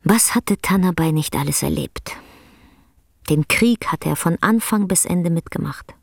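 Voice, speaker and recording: A narrator with a gravelly, husky voice, telling a story in a measured way for a radio drama. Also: mid-range voice, dry recording, studio quality.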